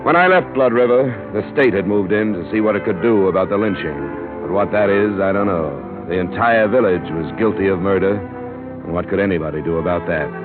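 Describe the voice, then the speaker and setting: A man playing a world-weary investigator closing his case. He speaks low and dry. The old broadcast is thin and tinny, with music underneath. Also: brisk, natural voice. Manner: dry, matter-of-fact wrap-up. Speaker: narrator. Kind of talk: 1950s radio drama narration.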